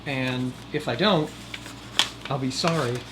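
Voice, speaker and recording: A man's voice: mid-range voice, slow pace. A man giving casual how-to vlog talk as host, folksy and jokey with rambling asides. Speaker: host of DIY video series. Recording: loud air conditioner noise behind voice. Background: air conditioner hum.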